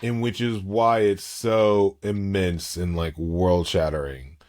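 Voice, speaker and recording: A man's voice: deep voice, fairly even intonation, takes it slowly, a little mellow. Enthusiastic man in his thirties. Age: 30s. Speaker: podcast co-host. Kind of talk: casual fan chat.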